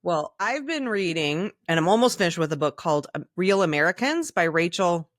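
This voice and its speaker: Lower-pitched woman chatting candidly, close and dry. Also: lively intonation, a little fast, dry recording. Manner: candid, enthusiastic, rambling asides. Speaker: podcast co-host. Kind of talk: casual conversational podcast chat.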